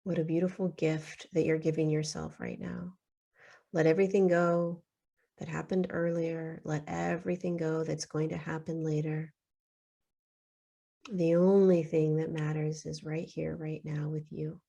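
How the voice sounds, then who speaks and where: A woman leading a guided meditation in a soothing, unhurried way, leaving long pauses between short phrases. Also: low-pitched, flat delivery, vocal fry, warm tone.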